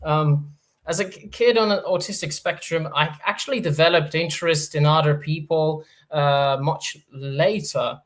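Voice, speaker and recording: A man's voice: fairly high pitch, moderate pace. A man from Poland with a Polish accent touched by Norwegian, hosting a casual livestream Q&A and reading chat comments, hesitant with many fillers and restarts. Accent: Polish, with Norwegian influence. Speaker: livestream host.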